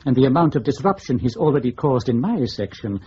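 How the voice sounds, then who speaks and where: An actor playing a disgruntled, formal section leader in an intelligence service, speaking hesitantly in a radio drama. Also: male voice, mid-range voice, expressive, fairly quick, mellow, natural voice. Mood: resentful. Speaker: senior intelligence officer. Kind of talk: broadcast radio drama acting. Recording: muffled radio recording, faint hiss.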